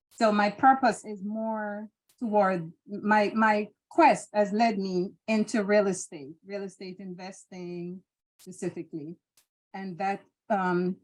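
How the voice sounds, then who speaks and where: A woman's voice: medium pitch, poor audio. A middle-aged Jamaican woman with a Jamaican accent, answering interview questions in a thoughtful, hesitant way with frequent restarts and fillers. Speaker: interview guest.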